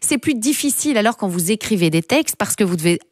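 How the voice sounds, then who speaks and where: A woman's voice: medium pitch, expressive, quick pace, no echo, studio quality. A woman hosting a casual interview, chatty and joking. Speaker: radio or TV host.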